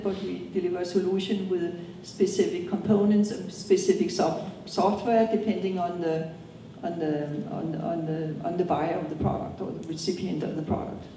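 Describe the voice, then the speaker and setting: A woman's voice: mid-range voice, dark tone, far from the mic, slight background noise. Danish-accented woman in an echoey hall. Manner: hesitant, many ums and restarts. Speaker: company co-founder, guest. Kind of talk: on-stage interview answers. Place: event hall.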